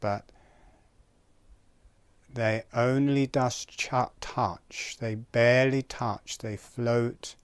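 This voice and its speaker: A man leads a guided breathing exercise as an instructor. His voice is smooth and clear, at a middle pitch. He speaks very slowly and gently, leaving space between short, calm phrases. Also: no echo.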